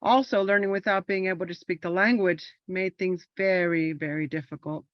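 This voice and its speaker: A woman's voice: on the low side, fairly even intonation, moderate pace, no room sound, muffled recording. A woman from Los Angeles whose first language is Spanish, an army veteran performing a personal monologue in an earnest, reflective storytelling manner. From Los Angeles, California.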